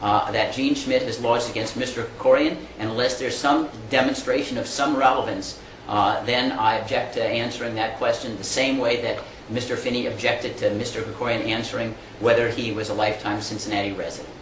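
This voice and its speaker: A man's voice: mid-range voice, fairly even intonation, brisk. A man voicing formal legal objections, firm, argumentative and precise. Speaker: opposing attorney. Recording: echoey room with faint background noise.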